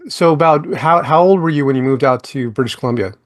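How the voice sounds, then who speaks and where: A man's voice: mid-range voice, fast. A man hosting a podcast interview, talking in a friendly, chatty way with many fillers like "like" and "you know". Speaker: podcast host. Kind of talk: casual interview chat.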